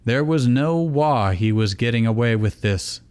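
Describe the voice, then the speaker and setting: A man's voice: medium pitch, expressive, measured pace, darkish tone, clean tone. A man reading fan fiction aloud as theatrical story narration. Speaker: reader of fan fiction, host.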